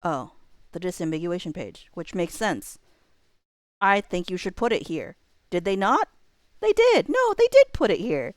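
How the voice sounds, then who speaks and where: A woman with a fairly low voice hosts a casual livestream, thinking out loud as she goes. She rambles informally and cuts into her own sentences. The sound is dry, with very little room on it. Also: very animated, measured pace, dry recording. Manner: rambling, self-interrupting, informal. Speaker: livestream host.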